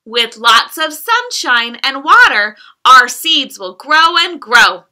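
Lady reading as a sweet little girl, bright lively voice, slow sing-song storybook lines. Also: sits high, heavily acted. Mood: cheerful. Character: cheerful little girl, caring and eager.

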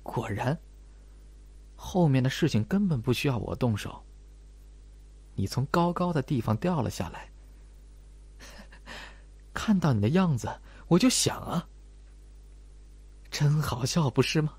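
A man's voice: medium pitch, animated, unhurried, pauses often, a little mellow, slightly rough, dry recording. A man voicing a spiteful young female student in an audiobook, sneering and taunting in an erratic way. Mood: scornful.

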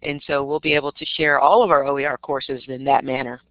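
A woman's voice: rumbling low, steady tone, a little fast, no echo, lo-fi sound. A woman English professor answering audience questions in a webinar, conversational with some ums. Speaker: English professor, guest speaker. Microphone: web call.

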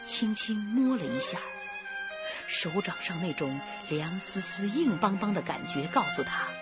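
A woman's voice: mid-range voice, big pitch swings, measured pace. A woman giving scripted narration for a radio drama. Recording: dull sound, loud music behind.

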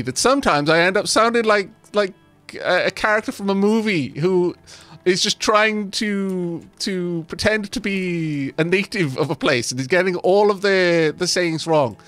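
A man's voice: high-pitched, a bit bright, no echo. A man whose first language is Welsh, chatting through a game and talking to his settlers. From Wales. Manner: chatty, talks to his settlers, self-deprecating. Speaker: let's play host. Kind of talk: casual gameplay commentary.

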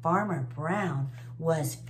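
A woman's voice: deep voice, drawn-out words. A woman in her forties hosting children's story time, reading expressively and explaining in a teacherly, encouraging way. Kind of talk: expressive read-aloud with simple explanations. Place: small indoor room with bookshelves.

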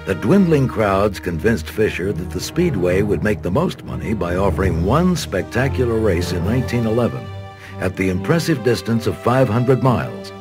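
A man with an American accent delivers scripted documentary narration over music. His low voice moves expressively up and down, with a measured sense of drama. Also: sing-song.

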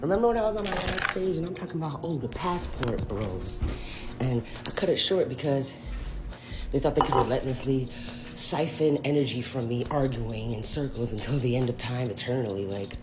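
Emphatic woman scolding into a phone. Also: very deep voice. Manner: scolding, emphatic, rising and falling sharply. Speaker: social media commentator. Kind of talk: direct-to-camera rant. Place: indoors at home, selfie video. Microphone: phone camera at arm's length.

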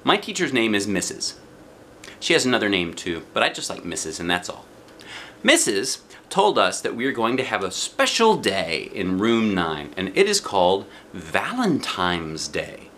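A middle-aged American man reading a children's storybook aloud in animated narration. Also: fairly low pitch, moderate pace. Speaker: children's book reader. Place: living room, indoors. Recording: noticeable background noise.

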